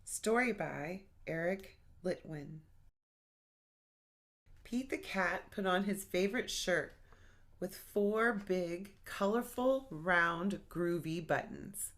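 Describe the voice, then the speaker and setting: Slow-paced woman reading a children's storybook. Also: on the low side, animated, a bit bright. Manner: playful, sing-song, addresses young listeners directly. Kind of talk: animated picture book read-aloud for young children.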